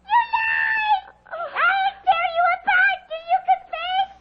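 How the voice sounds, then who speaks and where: Demented old witchlike madam: extremely high shrieks, menacing, accusatory, muffled noisy radio-drama sound. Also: takes it slowly, raised voice. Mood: frenzied fury. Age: older. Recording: muffled radio recording with faint noise.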